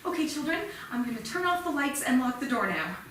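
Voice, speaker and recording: An actress playing a conscientious, protective schoolteacher, giving classroom instructions in a staged reading. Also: female voice, high voice, very animated, fairly quick. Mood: alarmed, controlled. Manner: instructive, addressing children. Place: theatre stage before the mic at a distance. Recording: echoey theatre, distant mic, faint noise.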